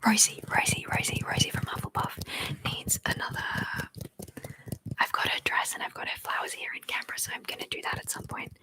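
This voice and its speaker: A young woman, an ASMR creator, whispering as she rambles playfully, giggles and reads out viewer comments. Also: fairly quick.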